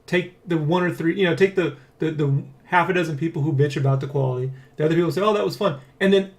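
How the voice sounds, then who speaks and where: A man hosting a casual dev blog, talking in a rambling, excitable way and often checking for agreement. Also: a little high, quick pace. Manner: rambling, keeps seeking agreement with "right?" and "you know?". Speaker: dev blog host and comic artist. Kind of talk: casual dev vlog chat, addressing viewers and co-worker.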